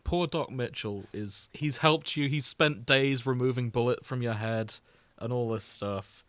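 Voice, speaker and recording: A man's voice: medium pitch, measured pace, a bit bright, no echo. Casual man podcaster with a dry, close sound. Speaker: podcast co-host. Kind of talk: casual chat.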